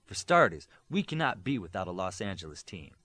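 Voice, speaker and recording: A man's voice: low-pitched, very animated, a little fast, bright, forceful tone, heavily acted. A man voicing a polite, officious male administrator in an audiobook, formal and earnest.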